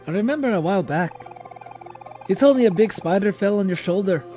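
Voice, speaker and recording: A man voicing a gentle, earnest young friend from a horror game, reading the dialogue in a reassuring way. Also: high-pitched, lively intonation, a little fast, soft background music. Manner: soft-hearted, reassuring, reading dialogue aloud.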